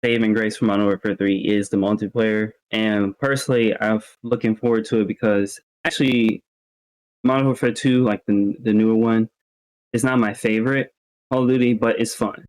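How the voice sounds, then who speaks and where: A man with a slightly low voice speaks as a podcast co-host. He lays out his opinions on games in a measured, conversational way, keeping it informal. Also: steady tone, a little mellow, poor audio. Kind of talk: casual video game discussion.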